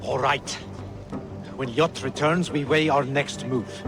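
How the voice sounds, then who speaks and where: An actor playing a cautious, uneasy Viking spy in a video game. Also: male voice, medium pitch, fairly even intonation, slow, music in the background. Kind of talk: dramatic video game acting.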